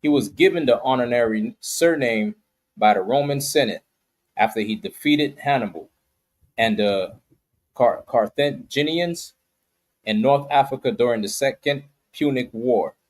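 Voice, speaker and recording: A man with a mid-pitched voice reading an article aloud. He goes haltingly and stumbles over harder words. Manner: reads aloud haltingly, brief agreements. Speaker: reader of articles.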